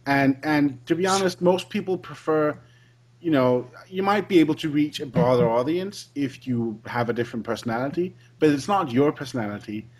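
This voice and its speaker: Husky guy, laid back and agreeable, talks about his own gaming vids. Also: on the high side, lively intonation, moderate pace, rough recording. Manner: agreeable, reflective, often backing the other speaker up. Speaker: fellow gaming video maker. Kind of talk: casual podcast interview.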